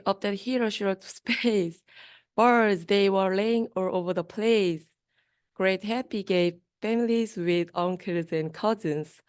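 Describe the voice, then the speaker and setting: A woman's voice: mid-range voice, a little mellow, clear, resonant tone, no room sound. A young woman, a university student, reading a rhyming children's story aloud. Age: young adult.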